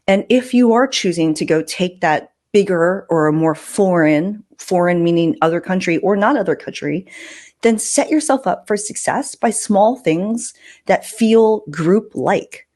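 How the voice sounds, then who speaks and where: Lower-pitched woman, casual podcast host. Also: a little mellow. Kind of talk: casual conversational podcast talk.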